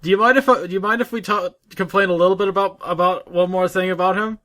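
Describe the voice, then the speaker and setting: A man with a noticeably high voice talks as co-host of a gaming discussion. His delivery is informal and conversational, circling back over his own phrases as he argues. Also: fairly even intonation, quick pace, sharp tone. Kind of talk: casual chat.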